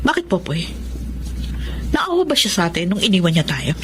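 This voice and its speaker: An actress playing a resentful, hurt eldest sister in a Filipino radio drama. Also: female voice, on the low side, big pitch swings, a little fast, background noise. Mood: angry, anguished. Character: bitter, resentful eldest sister, hardworking, hurt by absent father. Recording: loud music bed under the voice.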